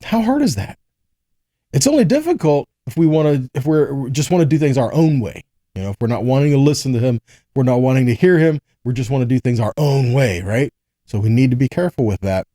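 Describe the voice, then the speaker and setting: A man's voice: medium pitch, animated, fairly quick, warm tone, dry recording, studio quality. A man giving a conversational Bible teaching, reading verses aloud and explaining them earnestly, often checking in with short rhetorical questions.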